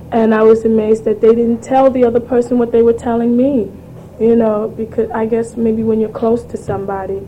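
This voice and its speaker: A woman's voice: medium pitch, mellow, a little hiss or hum, poor audio. A woman from the Caribbean speaking earnestly on a panel, offering advice with some hesitation. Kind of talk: candid panel discussion.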